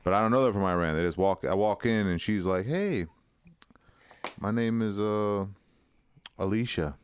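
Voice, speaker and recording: Deep-voiced man telling a story. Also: moderate pace, smooth. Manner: animated storyteller, slangy, acts out quotes. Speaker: podcast co-host. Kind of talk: casual storytelling chat.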